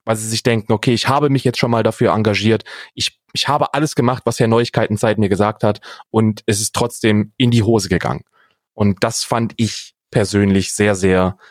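A young man with a fairly low voice co-hosts a podcast. He talks casually but with firm opinions, repeating phrases to drive them home. The sound is dry, without room. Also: fairly even intonation, moderate pace, dry recording. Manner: emphatic, repeats phrases for stress. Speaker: podcast co-host.

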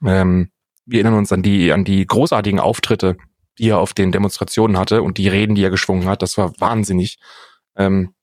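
Man, lowish voice, very dry close sound, ironic and wordy podcast co-host. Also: steady tone, brisk, no echo. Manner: ironic, wordy, mock-formal. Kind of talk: casual comedic podcast chat.

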